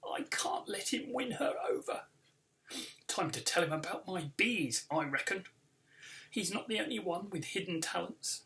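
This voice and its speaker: Guy playing earnest young school kid from a storybook, bright n a bit creaky. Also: sits high, lively intonation, measured pace, recorded from a distance, heavily acted. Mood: determined. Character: earnest, slightly fussy young schoolboy beekeeper hero.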